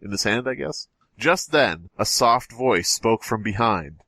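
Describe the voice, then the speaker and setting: A man's voice: fairly low pitch, animated, measured pace, sharp tone, no echo. A man hosting a podcast, reading a horror story aloud and breaking off with joking asides. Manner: jokey, breaks off to comment. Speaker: podcast host.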